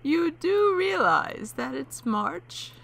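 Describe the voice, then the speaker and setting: A woman playing a polite, wary elderly widow in an audio drama, speaking slowly and carefully. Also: high voice, very animated, gravelly, a bit bright, exaggerated voice. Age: older. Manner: polite, wary, slow and lilting. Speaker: homeowner.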